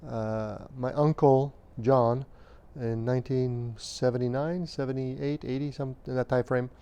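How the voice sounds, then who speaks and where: Calm, mellow-voiced man from Romania. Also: mid-range voice, smooth. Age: 50s. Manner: measured, hesitant with many fillers. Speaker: interviewee. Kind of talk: recounting childhood memories.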